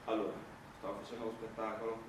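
An actor playing a fussy, wounded street actor in a comic stage dialogue, complaining sarcastically and pleading in repeated phrases. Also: male voice, on the low side, monotone, quick pace, recorded from a distance, lightly acted. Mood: reproachful. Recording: noisy, echoey stage recording from afar.

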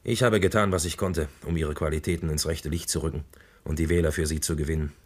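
A man's voice: very deep voice, steady tone, fast talker. An actor playing a worried, reproachful male campaign adviser in a radio play. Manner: urgent, reproachful.

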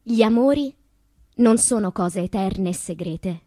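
An actress playing a tormented, wistful woman poet in a theatrical monologue, dramatic and deliberate, with a breathy, husky voice. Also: medium pitch, lively intonation, no echo, clean studio sound. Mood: brooding. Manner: dramatic, slow deliberate delivery with hushed passages. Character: tormented woman poet recalling destructive loves, wistful and intense.

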